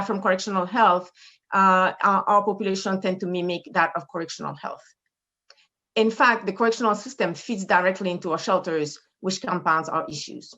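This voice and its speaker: A woman with a French Haitian accent, a medical director giving a measured, formal conference presentation over a video call. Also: medium pitch, fairly bright tone, lo-fi sound. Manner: measured, formal, reading data with occasional fillers. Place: in front of a brick wall indoors.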